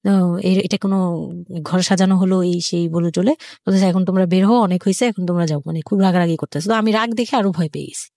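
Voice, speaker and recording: A woman with a Bangladeshi accent telling her own story informally as a guest, haltingly, repeating phrases. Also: on the low side, fairly even intonation, mellow, dry recording, studio quality.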